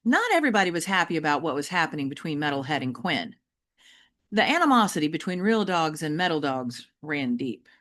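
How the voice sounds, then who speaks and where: Woman storyteller for a children's novel: lower register, very animated intonation, deliberate and emphatic phrasing. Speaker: teacher reading a children's novel aloud. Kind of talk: expressive audiobook-style storytelling for children.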